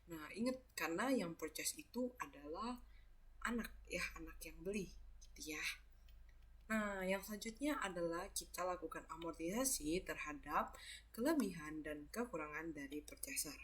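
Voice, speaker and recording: Young woman, Indonesian accent, lowish pitch, breathy husky tone, step-by-step tutorial, conversational, self-answered questions. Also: expressive, normal speed. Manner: conversational, asks and answers own questions, frequent tag words. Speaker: accounting tutor.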